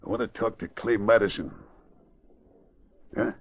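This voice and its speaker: An actor playing a hot-tempered gangster boss with a rough voice and bullying slangy talk, in 1940s radio style. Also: male voice, on the low side, unhurried, heavily acted. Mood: gruff, suspicious. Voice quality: gravelly, rough. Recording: muffled old radio recording.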